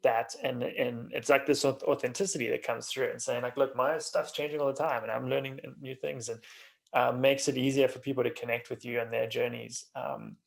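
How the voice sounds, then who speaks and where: A man hosting a podcast in a casual interview style, thinking aloud informally in halting, restarted phrases. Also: mid-range voice, steady tone, creaky. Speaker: podcast host.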